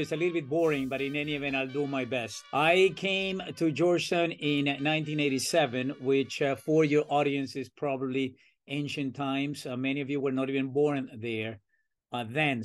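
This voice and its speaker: An older man from Venezuela with a Venezuelan accent, telling his career story as a podcast guest in an animated way, acting out old conversations with plenty of fillers. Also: medium pitch. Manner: animated, acts out remembered dialogue, many fillers. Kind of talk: casual storytelling interview.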